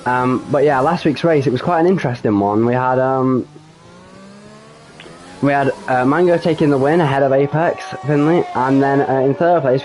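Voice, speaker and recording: A man giving solo live commentary on an online racing league, slightly croaky from a cold, hesitant with frequent ums and self-corrections. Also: mid-range voice, darkish tone, a little hiss or hum, rough recording.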